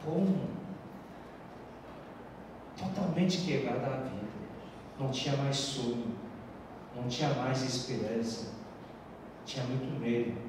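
A middle-aged man, a pastor giving an earnest sermon testimony into a handheld mic on a church stage, with halting phrasing and frequent restarts. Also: mid-range voice. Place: church stage with large hall. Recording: echoey hall from far off with background noise.

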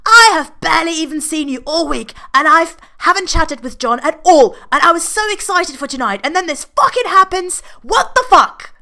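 A woman's voice: extremely high pitch, animated, moderate pace, hardly any pauses, bright, forceful tone, voice pushed up, heavily acted. A woman voicing an exasperated, theatrical young man who exclaims and swears.

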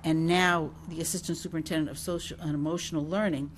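A woman working as a school nurse, reading a prepared report aloud at a meeting in a formal, measured way. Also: sits low, steady tone, slight background noise. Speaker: school nurse presenting a project. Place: mid-sized meeting room with seated public. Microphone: gooseneck table microphone.

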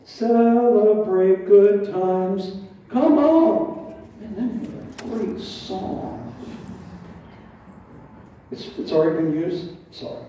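Older pastor preaching in a roomy hall. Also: male voice, sits high, lively intonation, slow pace, pauses often, dark tone, slight background noise. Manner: conversational preaching with asides and rhetorical questions. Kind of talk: sermon. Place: large dim church hall with stage. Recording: roomy and dull from far off.

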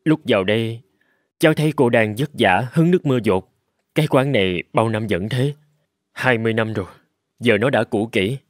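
A man's voice: medium pitch, normal speed, no echo, studio quality, lightly acted. A man voicing a grateful, polite middle-aged businessman in a cartoon, speaking earnestly. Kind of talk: cartoon dubbing.

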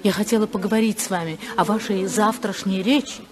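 An actress playing a poised, teasing, rebellious society hostess in a 1950s radio drama. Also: female voice, medium pitch, fairly quick, lightly acted. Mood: teasing, intense. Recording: 1950s radio, chattering crowd behind.

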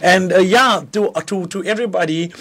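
A middle-aged man, a pastor speaking on a radio devotional show in a small studio, his voice hoarse from travel, talking fluently with restarts and easy laughs. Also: high-pitched, moderate pace, bright, forceful tone. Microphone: studio mic, headphones.

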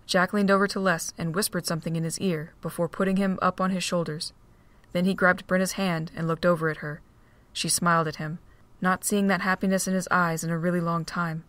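A woman reading the narration of a fan audio drama in a steady storytelling voice. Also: on the low side, a little fast, no echo.

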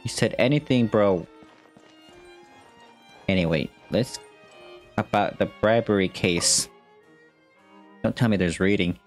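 Low-voiced young man streaming a game. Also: takes it slowly, pauses often. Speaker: gaming streamer. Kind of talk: casual game playthrough, reading on-screen text aloud. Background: faint game music.

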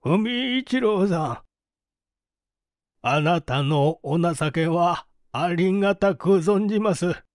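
Man playing a slow, anguished old man. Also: high-pitched, lively intonation, no room sound, studio quality. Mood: remorseful. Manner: halting, solemn, old-fashioned formal speech. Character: anguished, penitent old man over sixty, doting father.

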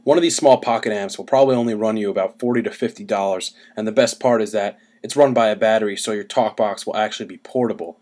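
Young guy doing a laid-out diy how-to on a webcam at home, voice a bit low. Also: fast talker, few pauses, darkish tone. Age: young adult. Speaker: DIY music gear demonstrator. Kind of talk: casual how-to explanation. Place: small cluttered room at home. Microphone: webcam about arm's length away.

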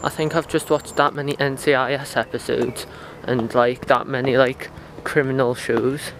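A man's voice: mid-range voice, flat delivery, normal speed. A young man vlogging as he walks along a riverside path, talking in a reflective, rambling way with lots of fillers, recorded on wireless earbuds. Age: young adult. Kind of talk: casual walking vlog. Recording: outdoor street noise. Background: traffic and outdoor ambience.

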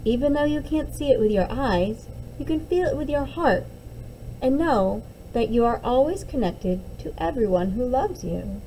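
Woman reading as a reassuring picture book mom, soft mellow voice, patient and soothing. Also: high voice, lively intonation, normal speed, slight background noise, low-quality recording. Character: gentle, reassuring young mother of twins.

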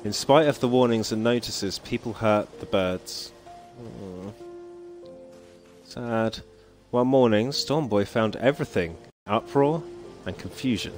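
Low-voiced man streaming a relaxed game. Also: takes it slowly. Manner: relaxed, musing aloud, chuckles often. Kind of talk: casual gameplay commentary, reading game text aloud. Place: home, at the computer. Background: faint game music.

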